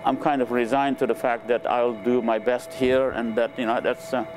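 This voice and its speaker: An older man from Nepal, a native Nepali speaker, recounting his life in a relaxed, hesitant way with many fillers, on a lapel mic in a lecture room. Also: medium pitch, normal speed, noisy background. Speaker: interviewed scientist. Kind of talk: relaxed conversational storytelling. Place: lecture room with a small audience.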